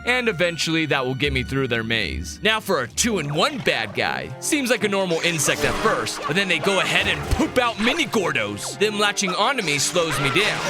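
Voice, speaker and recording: Male game narrator, high and very animated, sarcastic, theatrical, music underneath. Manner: playful, theatrical, sarcastic asides. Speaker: YouTube game commentator and host. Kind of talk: scripted comedic narration of gameplay.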